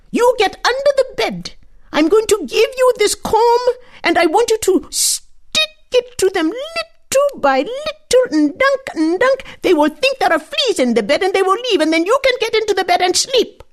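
A woman voicing an old half-woman, half-creature who mothers the forest beasts, speaking high and commanding in a told folktale. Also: sing-song, unhurried, exaggerated voice. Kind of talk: storytelling, voiced character.

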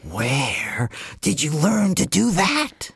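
A man voicing a harsh, commanding mother snake who lays down the law in slow, stern words. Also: fairly high pitch, wildly swooping pitch, vocal fry, fairly bright tone, slightly rough, no echo, clean studio sound, voice pushed up, full character voice.

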